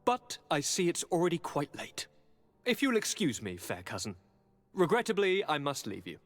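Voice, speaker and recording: A young noble governor in a game cutscene, with a lightly high male voice. He is charming and evasive, phrasing everything with courtly, theatrical flourish, as if hiding a darker ambition. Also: wildly swooping pitch, moderate pace. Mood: breezy, dismissive. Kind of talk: dramatic video game cutscene acting.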